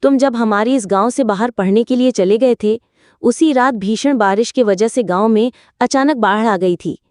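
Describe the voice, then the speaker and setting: A woman's voice: on the high side, moderate pace, a little mellow, dry recording, studio quality, lightly acted. A woman playing a serious young village woman who explains things in a cartoon horror story. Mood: grave, explanatory.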